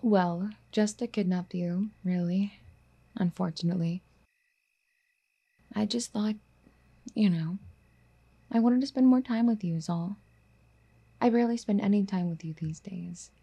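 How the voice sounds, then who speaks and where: Woman acting as a soft, husky yandere girlfriend. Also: mid-range voice, measured pace, long pauses. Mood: sheepish, apologetic. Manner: cooing, coaxing pet-name sweet talk. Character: doting, obsessive, clingy yandere girlfriend, wealthy and apologetic. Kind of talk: ASMR girlfriend roleplay, soft and half-whispered.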